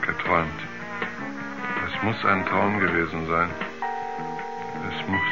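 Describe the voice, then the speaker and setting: An actor playing a shaken astronaut in a radio drama, speaking haltingly and repeating himself as if dazed. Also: male voice, sits low, steady tone, loud music behind the voice. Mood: dazed, haunted. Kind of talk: 1970s German radio drama acting. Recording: dull vintage radio play sound.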